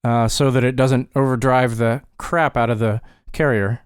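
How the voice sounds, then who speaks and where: A man narrating a software tutorial step by step in a casual, informal way, with dry wry asides. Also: medium pitch, steady tone, normal speed, clean tone, no room sound. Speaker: tutorial presenter.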